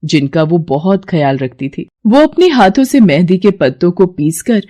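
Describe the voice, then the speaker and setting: A woman's voice: fairly low pitch, very animated, moderate pace, mellow. A woman narrating a scripted moral story as a storyteller.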